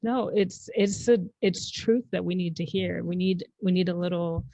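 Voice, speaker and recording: A woman's voice: on the low side, moderate pace, vocal fry, warm tone, no room sound. A woman from Zambia co-hosting a podcast, speaking in a reflective, encouraging way. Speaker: podcast co-host. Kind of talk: casual podcast conversation. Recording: dull dry lo-fi sound.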